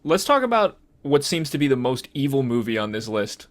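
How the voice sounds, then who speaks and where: A man's voice: mid-range voice, sing-song, fairly quick. A man co-hosting a casual movie and game podcast, hesitant as he thinks aloud. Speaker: podcast co-host.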